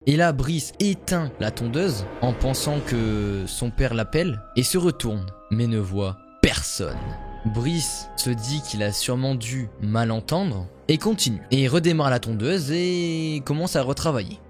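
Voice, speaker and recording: A man narrating paranormal stories in a steady, measured storytelling voice. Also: medium pitch, over music. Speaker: YouTuber.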